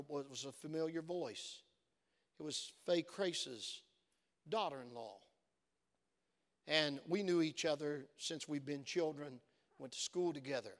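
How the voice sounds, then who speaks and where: A man preaching a sermon as pastor, with a voice worn from use and an earnest storytelling manner that builds to fervent exhortation. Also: a little high. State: voice worn from many phone calls. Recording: long reverb of a church hall.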